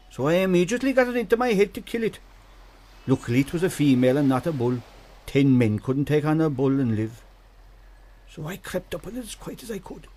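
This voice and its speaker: An actor playing a genial old storyteller from an island, with an Irish accent, folksy and vivid, in a radio drama. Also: male voice, sits high, a little mellow. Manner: folksy, teasing, vivid narrator. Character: genial old island storyteller, male, spinning yarns for children.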